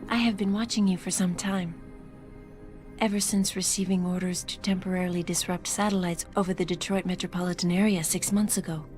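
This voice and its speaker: An actress playing a poised, enigmatic female news anchor in a video game, speaking in a measured, formal, polite way. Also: fairly low pitch, fairly even intonation, darkish tone, soft background music, natural voice. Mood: composed.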